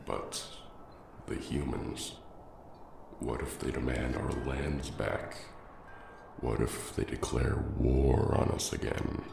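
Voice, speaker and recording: A very deep dragon growls slowly and solemnly, loyal to his kin yet wary. The voice has a heavy creak and belongs to an ASMR fantasy roleplay. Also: little pitch movement, full character voice. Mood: wary, concerned. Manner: slow, solemn. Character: loyal male dragon, wary brother of the alpha. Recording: roomy echo with loud background ambience noise.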